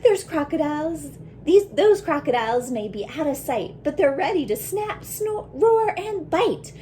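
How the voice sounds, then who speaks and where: A woman voicing a brave, imaginative little schoolgirl in a picture book, with dramatic, emphatic, drawn-out delivery. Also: high-pitched, sing-song, dark tone, slight background noise, voice pushed up. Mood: bold, dramatic.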